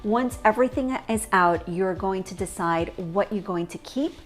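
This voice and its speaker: A woman's voice: medium pitch, moderate pace. A woman from the United States hosting an upbeat home organizing show in a chatty, encouraging, informal way. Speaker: professional organizer and show host. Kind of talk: upbeat lifestyle show presenting. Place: home kitchen, indoors. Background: background music.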